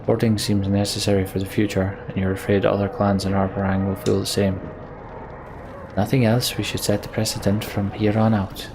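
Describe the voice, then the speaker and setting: A man's voice: flat delivery, moderate pace, noisy background. A man hosting a let's play, commenting on a game and reading its dialogue aloud. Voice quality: low, creaky.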